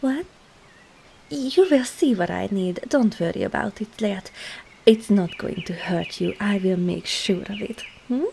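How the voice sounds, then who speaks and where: A woman's voice: mid-range voice, unhurried, vocal fry, dark tone, a little hiss or hum. A woman voicing a sly, teasing traveling witch and herbologist in an ASMR roleplay, coy and murmuring into half-whispers as she addresses the listener. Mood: probing, soft.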